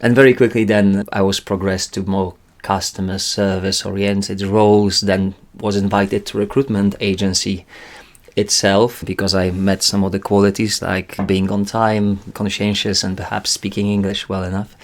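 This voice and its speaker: A man with a Polish accent speaks in a very deep register. His delivery stays level and unhurried, with careful, slightly formal phrasing. He sounds earnest as he explains his work. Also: little pitch movement. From Poland. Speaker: guest, climate recruitment director. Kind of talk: interview answers.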